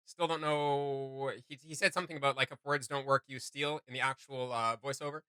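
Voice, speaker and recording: A man hosting a casual reaction video, giving gushing, slangy commentary in a halting flow. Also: mid-range voice, fairly even intonation, sharp tone, clean tone, no room sound, studio quality.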